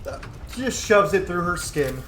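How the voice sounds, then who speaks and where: A male gaming host chats through a playthrough in a fairly high, lively voice. He is cheeky, gushing and slangy, and his mic picks up a roomy echo. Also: normal speed, a little hiss or hum. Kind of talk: casual, excited let's play commentary.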